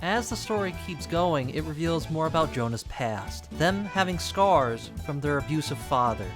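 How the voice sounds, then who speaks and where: Young male video essay host, fairly high animated voice, wry casual asides, music bed underneath. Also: moderate pace. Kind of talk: scripted narration with casual asides.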